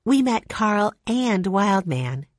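A woman's voice: medium pitch, very animated, slow pace, no room sound, studio quality, pitched above the performer's own voice. A woman voicing a sensible older sister who speaks firmly and directly. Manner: firm, direct.

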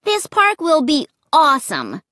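A woman's voice: high-pitched, wildly swooping pitch, unhurried, sharp tone, dry recording, studio quality, pitched above the performer's own voice, exaggerated voice. A woman voicing a bold young girl with an eager, persuasive manner in an audiobook. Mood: confident.

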